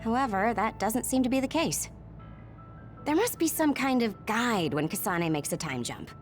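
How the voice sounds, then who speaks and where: A woman's voice: a little high, animated, moderate pace, faint music behind, natural voice. A woman playing a brainy, laid-back young woman in an English game dub, explaining things in an analytical, matter-of-fact way.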